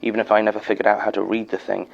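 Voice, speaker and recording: Sober British archivist for horror audio drama: low, gravelly, measured, formal delivery of eerie statements, slightly muffled sound. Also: male voice, little pitch movement, faint music behind. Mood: uneasy, intrigued. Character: sober head archivist reading a theatre technician's eerie testimony.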